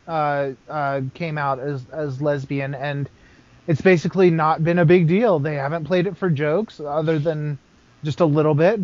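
Higher-voiced man, hesitant, lo-fi. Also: a little mellow, dry recording. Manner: hesitant, stammering starts. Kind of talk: casual podcast discussion. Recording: dull lo-fi recording.

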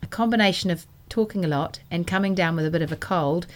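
A woman from New hosting a knitting podcast, chatting casually and enthusiastically, her voice still a little croaky from a cold. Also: lowish voice, quick pace. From New Zealand. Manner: chatty and enthusiastic, self-deprecating. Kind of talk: casual solo podcast chat.

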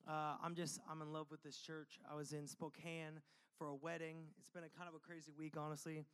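A young adult man preaching a sermon as pastor, casual and energetic, with many fillers, sniffly and slightly losing his voice from a cold. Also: fairly high pitch, brisk, smooth.

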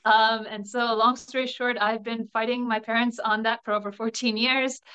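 A woman in her thirties with a smooth, resonant voice pitched a little high. She talks earnestly and chattily as an interview guest. Her sentences often stop and restart. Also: flat delivery, moderate pace, bright, forceful tone, rough recording. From New Jersey, USA. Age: 30s. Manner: earnest and chatty, with frequent restarts and small laughs. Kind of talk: candid personal interview.

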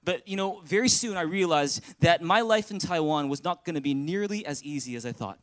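A man's voice: fairly high pitch, expressive, a little fast, fairly bright tone. A man from Canada, a pastor, preaching an earnest, conversational sermon in a church and calling on the congregation to answer. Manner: earnest, conversational preaching with audience call-and-response. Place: church sanctuary.

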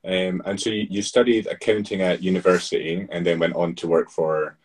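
A man hosting an interview, giving brief listening replies and asking measured questions. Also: low-pitched, flat delivery, husky, distant mic. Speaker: podcast host.

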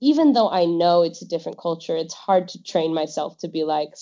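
A woman's voice: on the low side, animated, fairly quick, mellow. Chatty woman guest, laughs easily, loose rambling delivery, dull lo-fi sound. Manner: chatty, laughs often, trails off. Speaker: English teacher. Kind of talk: casual podcast chat. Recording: dull lo-fi audio.

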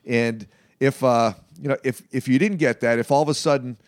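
A man's voice: mid-range voice, steady tone, no echo. Man in his late fifties, dry close sound. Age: late 50s. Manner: halting, emphatic, often checks with "okay". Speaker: martial arts practitioner being interviewed. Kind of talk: conversational interview answers.

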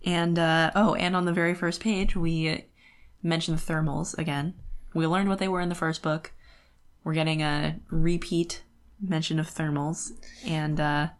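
A woman's voice: deep voice, little pitch movement, unhurried. A young adult woman co-hosting a podcast, chatting casually about books. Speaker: podcast co-host. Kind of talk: casual book discussion chat.

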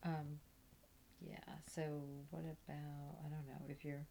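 A woman guest in a casual chat, agreeing with quick short replies. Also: sits low, level tone, gravelly, background noise.

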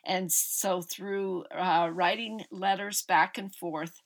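A woman's voice: lowish voice, fairly even intonation, takes it slowly. Woman hosting a faith podcast. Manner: warm, gushing, with frequent fillers. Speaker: podcast host. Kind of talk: podcast interview.

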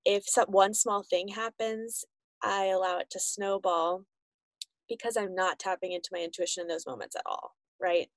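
A woman's voice: medium pitch, fairly even intonation, normal speed, no echo, poor audio. Dry-sounding woman podcast host. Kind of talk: casual conversational interview.

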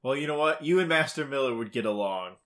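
A man with a very bright, forward voice at an ordinary pitch, co-hosting a casual gaming chat. He talks informally and states his opinions freely. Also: expressive, quick pace. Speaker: podcast co-host. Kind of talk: casual chat about a video game.

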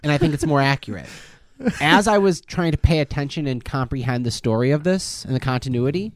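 A man chatting casually as a podcast co-host, rambling in an animated way and acting out quotes. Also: medium pitch, a little fast, no room sound. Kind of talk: casual chat.

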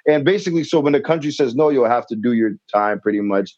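A middle-aged man from a Cape Verdean family in the States talks in a relaxed, conversational way, nodding along with quick affirmations. His voice sits at an ordinary pitch in a dry space. The sound is slightly dull and muffled. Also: no room sound. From Cape Verdean family in the United States. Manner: relaxed, affirming with frequent amens and laughs. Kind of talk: casual conversation.

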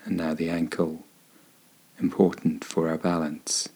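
A man with a low, husky voice leads a guided meditation. He gives gentle, soothing instructions and leaves unhurried pauses between phrases. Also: flat delivery, poor audio. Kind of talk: guided body scan meditation narration.

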